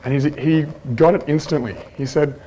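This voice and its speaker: A man giving an informal conference presentation on a handheld mic, chatty and relaxed, with plenty of ums and restarts. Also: fairly high pitch, normal speed, slight background noise, rough recording. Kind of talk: informal conference talk with anecdotes. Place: conference room at a workshop. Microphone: handheld microphone.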